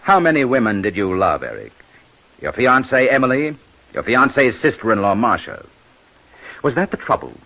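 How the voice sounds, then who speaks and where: An actor playing a sharp, methodical police inspector in 1950s radio detective style, commanding and accusatory. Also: male voice, mid-range voice, very animated, moderate pace, pauses often, exaggerated voice, rough recording. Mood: accusing. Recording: old-time radio broadcast recording.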